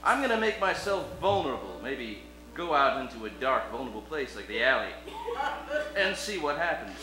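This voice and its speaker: A man's voice: mid-range voice, big pitch swings, moderate pace, natural voice. A man acting an earnest, suspicious detective hero in a comedic radio play, with a mock-heroic manner. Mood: confiding. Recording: roomy live stage, music behind.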